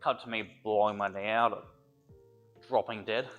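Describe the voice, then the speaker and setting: A man's voice: fairly low pitch, steady tone, moderate pace, a bit bright, highs cut off, soft background music. A young man, a personal trainer, chatting casually and joking while he works out, a little congested from a cold. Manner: rambling, joking, thinks aloud between sets. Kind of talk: casual workout vlog talk.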